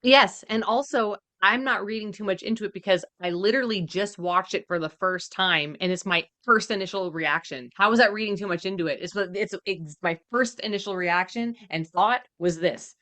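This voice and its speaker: A woman's voice: medium pitch, moderate pace, few pauses, sharp tone, dry recording. A woman co-hosting a podcast, reacting casually in a blunt, emphatic way. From Canada. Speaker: podcast co-host.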